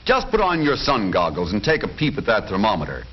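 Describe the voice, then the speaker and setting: Lively 1940s American male film narrator. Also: on the low side, fairly quick. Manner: jaunty, folksy, wisecracking announcer delivery. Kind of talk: 1940s scripted documentary narration. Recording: dull band-limited vintage 1940s film soundtrack.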